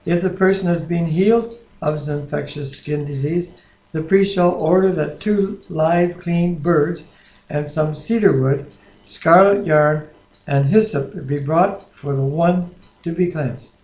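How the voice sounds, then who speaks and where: Higher-voiced man in an echoey room. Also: measured pace, darkish tone. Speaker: co-host reading the Bible aloud. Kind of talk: casual devotional talk, prayer and scripture reading. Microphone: webcam microphone at a distance. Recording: echoey room on a webcam microphone.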